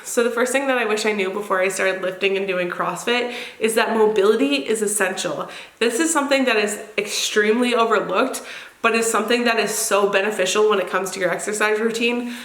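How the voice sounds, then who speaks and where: A woman's voice: mid-range voice, a little fast, a bit bright. A woman fitness vlogger with a crackly, congested, nasal voice from getting over an illness, giving casual, chatty advice to her viewers and repeating words for emphasis. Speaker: fitness vlogger and channel host. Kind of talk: casual advice talk to camera. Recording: roomy echo on the voice.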